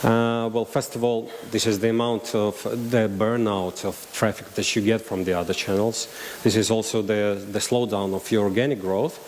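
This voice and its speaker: A man with a Russian accent gives a conference presentation from a stage mic, pausing often with fillers and restarting sentences. His voice sits fairly low. The hall adds a long reverb, with a faint hiss underneath. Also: level tone, a little mellow. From Belarus. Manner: halting, many fillers and restarts. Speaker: conference speaker. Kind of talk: conference talk.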